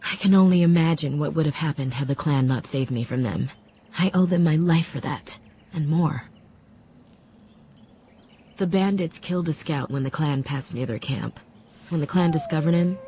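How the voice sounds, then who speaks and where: A woman playing a wise, composed elf apprentice in a fantasy video game, speaking in a calm, reflective, formal way. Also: sits low, a little hiss or hum. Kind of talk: fantasy video game acting, measured storytelling.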